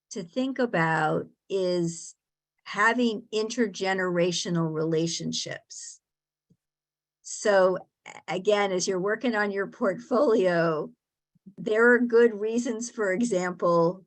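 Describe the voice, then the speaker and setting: A woman speaking as a guest on aging, thoughtful and earnest, pausing often to gather her words. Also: mid-range voice. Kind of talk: advice talk in a panel webinar.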